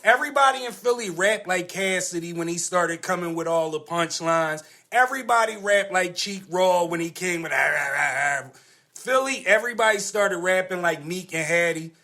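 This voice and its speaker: A male podcast host speaks in a higher-than-average, sharp and forceful voice. He argues casually and in slang, repeating phrases to press his point. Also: normal speed. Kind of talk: casual panel discussion.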